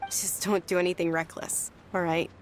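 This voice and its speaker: An actress playing a gentle, caring young noblewoman in a video game, speaking softly, politely and with some hesitation. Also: lowish voice, flat delivery, normal speed, noisy background, natural voice. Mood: concerned. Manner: soft-spoken, hesitant, polite. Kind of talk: game voice acting, story dialogue.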